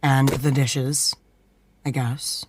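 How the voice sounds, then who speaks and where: A young woman acting in an audio drama as a protective twin sister, speaking earnestly and softly. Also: a bass voice, level tone, extremely slow, noisy background, heavily acted. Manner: earnest, soft-spoken, emotional. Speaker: twin sister of a vampire fighter.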